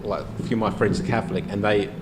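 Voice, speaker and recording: Low-voiced, rambling Australian man. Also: steady tone, fast talker, noisy background. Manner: rambling, hesitant, many restarts. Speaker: podcast co-host. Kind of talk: casual pub-style discussion.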